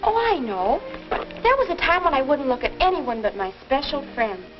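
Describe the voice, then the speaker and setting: An earnest American teenage girl in a 1950s educational film. Her voice is fairly high and girlish, full of curiosity, on a slightly dull old soundtrack with music behind it. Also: big pitch swings, moderate pace. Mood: reminiscing. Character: earnest, curious teenage daughter learning about love. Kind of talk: 1950s instructional film acting.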